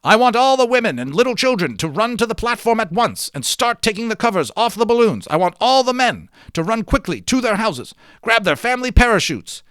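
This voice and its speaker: A man's voice: high-pitched, big pitch swings, brisk, few pauses, a bit bright, dry recording, studio quality, pitched above the performer's own voice. A man voicing a commanding male island leader in a storybook, emphatic and authoritative. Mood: urgent, shouting. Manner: emphatic, authoritative, projecting orders. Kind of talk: dramatic storybook reading.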